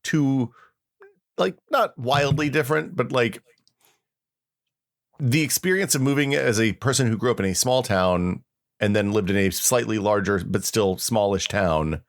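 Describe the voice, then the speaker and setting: A man's voice: mid-range voice, unhurried. A middle-aged American man co-hosting a podcast, chatting casually and reminiscing. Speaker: podcast co-host.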